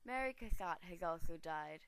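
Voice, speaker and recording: A woman's voice: lowish voice, lively intonation, normal speed, noisy background. A woman reading scripted narration as the newsreader of a mock 1920s news broadcast. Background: music.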